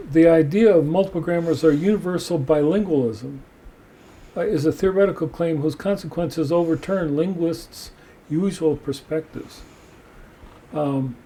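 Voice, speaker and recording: A man hosting a podcast, reading passages from a book aloud and discussing them in a measured way. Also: a little high, dark tone. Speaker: podcast host.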